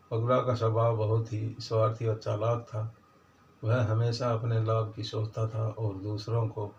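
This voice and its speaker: Male storyteller, low gravelly voice, plain halting delivery, children's moral tale, echoey distant recording. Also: a little mellow. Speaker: storyteller, channel host. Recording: distant mic, echoey room, dull, faint noise.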